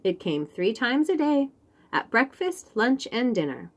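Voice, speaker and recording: A woman reading a picture book aloud to young children in an expressive way, with chatty asides and questions about the pictures. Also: on the high side. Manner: expressive, pauses to point out pictures and ask questions. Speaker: children's read-aloud host.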